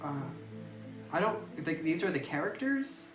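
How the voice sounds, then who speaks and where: A man's voice: on the high side, sing-song, unhurried, faint music behind. Man gaming, distant roomy phone audio. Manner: reacts to scary moments with nervous repeats. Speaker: let's play gamer. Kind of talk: playing through a visual novel, reading text aloud. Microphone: phone mic at a distance.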